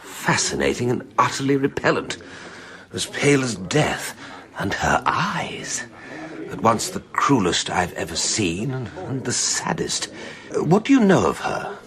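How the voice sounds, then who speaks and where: An actor playing a grave, reserved Victorian gentleman doctor with an English accent in a radio drama. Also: mid-range voice, big pitch swings, unhurried. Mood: haunted, fascinated.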